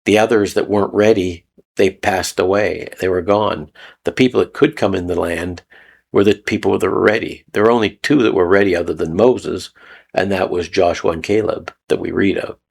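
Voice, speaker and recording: Low gravelly guy from canada rambling thru a chatty teaching talk, kinda husky. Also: steady tone, moderate pace. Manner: rambling, repeats phrases, chuckles often. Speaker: video host. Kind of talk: casual spoken teaching monologue. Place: lives in Mexico.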